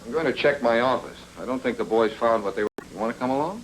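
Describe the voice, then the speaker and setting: An actor playing a hard-boiled private detective with terse, deadpan wisecracks, in 1940s film noir style. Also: male voice, mid-range voice, quick pace, a little hiss or hum, close to an everyday voice, low-quality recording. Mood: cool, defiant. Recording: old film soundtrack with loud music underneath.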